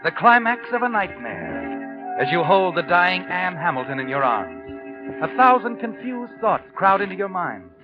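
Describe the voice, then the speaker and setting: An actor playing a sinister, knowing narrator of a 1940s radio suspense show, ominous and taunting. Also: male voice, high voice, very animated, moderate pace. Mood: grave, taunting. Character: sinister, knowing male narrator addressing the guilty protagonist. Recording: dull 1940s radio recording. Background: dramatic music.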